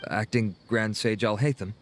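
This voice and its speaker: A man's voice: lowish voice, steady tone, normal speed, a little hiss or hum, close to an everyday voice. A man acting a dutiful, guarded enforcement officer in a video game, speaking in a formal, businesslike way. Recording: game music behind the voice.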